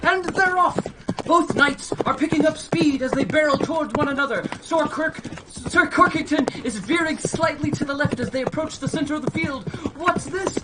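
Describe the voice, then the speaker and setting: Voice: high voice, moderate pace, natural voice. An actor playing a grand medieval tournament herald, proclaiming ceremoniously like a comic sports announcer. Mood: excited. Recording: noticeable background noise.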